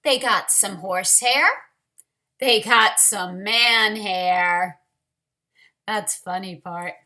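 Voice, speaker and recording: Lady kindergarten teacher doing storytime for little kids, slow and deliberate, bright voice. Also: mid-range voice. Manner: deliberate, addressing children. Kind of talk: read-aloud storytime for young children.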